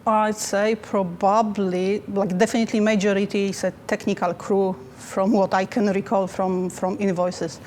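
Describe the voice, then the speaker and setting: A woman with a Polish accent answers interview questions in a careful, halting way. Her voice stays level and even, with little rise or fall. A faint hum of background noise sits under her speech. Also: medium pitch. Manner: careful, halting, non-native phrasing. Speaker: finance manager.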